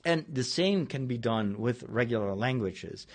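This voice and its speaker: A man with a clear Dutch accent talks in a loose, unscripted monologue as a priest and host. His voice is medium in pitch but swings widely up and down. He circles back and gropes for words as he goes. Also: lively intonation, unhurried. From Netherlands. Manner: digressive, searching for words mid-sentence. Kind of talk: casual spoken monologue.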